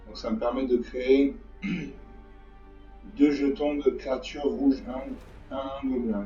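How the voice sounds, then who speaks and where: A hoarse man hosting a gaming channel, talking through a card game in a rambling, repetitive way with many fillers. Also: medium pitch, dark tone. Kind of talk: casual game commentary. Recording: distant mic, echoey room, dull lo-fi sound, faint music.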